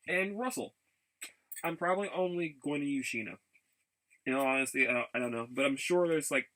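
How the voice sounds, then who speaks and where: A man chatting casually in a rambling vlog, haltingly, often repeating and restarting his phrases. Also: a little high, sharp tone, rough recording. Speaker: vlogger.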